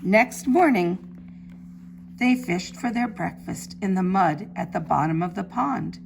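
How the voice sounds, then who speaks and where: A woman preschool teacher reading a story aloud to young children in simple, deliberate phrases. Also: mid-range voice, vocal fry, poor audio. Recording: faint background noise.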